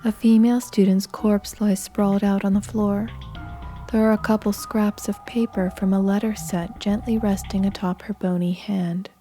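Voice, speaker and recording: A woman reading scripted narration for a horror game in a measured, sombre, matter-of-fact manner. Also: medium pitch, flat delivery, mellow, soft background music.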